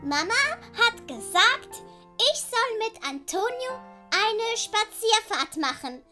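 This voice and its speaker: A playful little girl in an audio story. The voice is tiny, very high and slow, speaking in simple, innocent phrases. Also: big pitch swings, sharp tone, faint music behind, full character voice.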